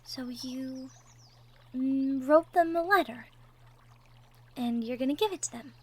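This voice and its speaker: A woman playing a shy, sweet young cottagecore woman in love, speaking softly and hesitantly in an intimate roleplay audio drama. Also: sits high, slow. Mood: encouraging, tender. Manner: hesitant, bashful, soft-spoken, trailing off. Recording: faint background noise, birdsong effects. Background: birdsong, outdoor nature sound effects.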